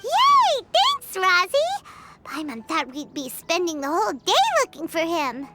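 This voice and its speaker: A woman voicing a tiny, chirpy, childlike fairy companion in an animated video game style, given to exclaiming. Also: very high-pitched, a little slow, over loud music, heavily acted. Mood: delighted. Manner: refers to self in third person, exclaiming.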